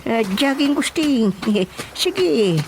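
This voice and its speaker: A man's voice: very high voice, sing-song, drawn-out words, a little hiss or hum, full character voice. Bumbling, stammering chairman, swooping wildly. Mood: flustered. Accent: Ilocano. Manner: stammering, muttering, exclamatory. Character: bumbling, excitable male village chairman. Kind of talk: comedic radio drama acting.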